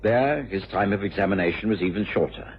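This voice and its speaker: An actor playing an earnest, gentlemanly doctor, the loyal companion of a detective, in an old-time radio drama. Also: male voice, lowish voice, a little hiss or hum, lightly acted. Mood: composed, storytelling. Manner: measured, expressive storytelling, easily flustered. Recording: muffled old radio recording.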